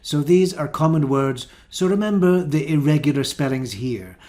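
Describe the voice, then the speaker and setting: A man with a Scottish accent teaching an English pronunciation lesson, saying each model word slowly and carefully. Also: fairly high pitch, dark tone. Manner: slow, careful, drawn-out model words.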